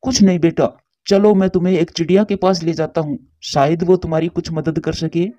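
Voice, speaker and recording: A man voicing a kindly, calm grown male bird in a cartoon story, speaking in a reassuring, avuncular way. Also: on the high side, a little fast, dry recording, highs cut off, close to an everyday voice. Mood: kindly, curious. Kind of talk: Hindi cartoon story character voice.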